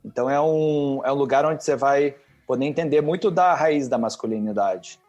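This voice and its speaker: A man's voice: mid-range voice, moderate pace, darkish tone, dull audio, soft background music. A man with a Brazilian Portuguese accent, a podcast guest talking earnestly and eagerly recommending things to watch. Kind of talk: casual podcast chat.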